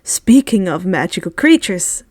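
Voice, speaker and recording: A woman's voice: lowish voice, very animated, measured pace, a little mellow, breathy edge, no room sound, studio quality, voice pushed down, exaggerated voice. A woman reading the part of an earnest, playful teenage wizard boy who talks eagerly and stammers a little. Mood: mischievous, teasing.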